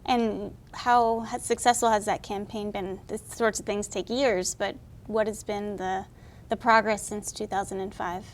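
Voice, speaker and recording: A woman hosting a local TV interview program, asking measured, polite questions. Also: mid-range voice, steady tone, a little hiss or hum. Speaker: TV interview host.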